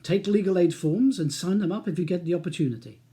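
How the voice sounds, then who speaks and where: A man voicing a pitched-up, matey greying solicitor. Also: fast, mellow, lightly acted. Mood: matey, persuasive. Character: greying East End solicitor in his sixties, matey and wheedling. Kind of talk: audiobook character dialogue.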